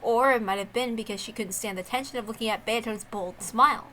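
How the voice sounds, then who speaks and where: A woman's voice: a little high, fairly quick, pushed and bright, slight background noise. A woman reading a visual novel aloud as a dramatic narrator.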